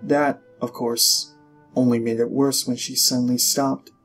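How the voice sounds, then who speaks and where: A man narrates a story as a scripted audiobook. His voice sits at a middle pitch, and he phrases things deliberately with expressive pauses. Faint music plays underneath.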